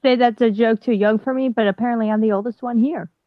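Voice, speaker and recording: A woman's voice: a little high, fairly even intonation, fast, dry recording. A woman in her twenties in a casual group chat, easygoing and laughing. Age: 20s. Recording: dry lo-fi mic.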